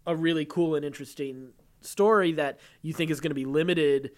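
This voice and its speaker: Higher-voiced man co-hosting a podcast. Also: steady tone, a little fast. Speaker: podcast co-host. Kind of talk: casual chat about entertainment news, at times reading a story aloud.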